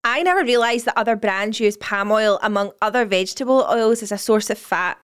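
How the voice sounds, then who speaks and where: Smooth-voiced woman reading an ad. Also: medium pitch, brisk. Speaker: podcast co-host reading an ad. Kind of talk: scripted ad read.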